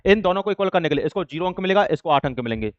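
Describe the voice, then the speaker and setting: A man's voice: high-pitched, lively intonation, racing, no room sound, lo-fi sound. A man teaching exam students in an informal way, explaining step by step with repeated examples.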